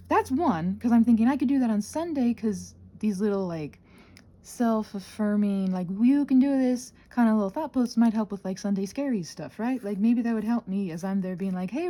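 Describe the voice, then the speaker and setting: Female, soft mellow tone with a slightly husky edge, highly varied intonation, playful rambling chat, self-deprecating. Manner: expressive, acting out her own thoughts. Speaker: ASMR and audio content creator.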